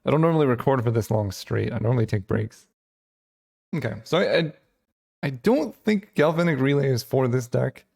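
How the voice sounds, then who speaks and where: Gravelly-voiced man thinking aloud. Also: mid-range voice, lively intonation, normal speed, a little mellow. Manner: thinking aloud, rambling with fillers. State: voice getting rough and hoarse. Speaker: channel host. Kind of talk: casual gameplay commentary.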